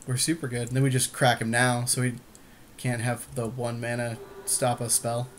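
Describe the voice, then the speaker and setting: A man's voice: mid-range voice, level tone, moderate pace, slight background noise. A man co-hosting a podcast, giving casual card game commentary in informal, agreeable chatter with his partner. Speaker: podcast co-host.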